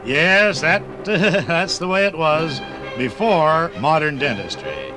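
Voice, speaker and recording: A man's voice: a little high, expressive, slow pace. An American man narrating a 1950s radio documentary as a folksy, dramatic storyteller. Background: music.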